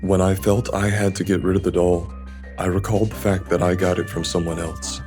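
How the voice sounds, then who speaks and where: A man voicing a remorseful, fearful loner in a visual novel dub, speaking in a measured, earnest way as he explains himself. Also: deep voice, level tone, close to an everyday voice. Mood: somber confession. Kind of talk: visual novel English fan dub, dramatic dialogue. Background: music.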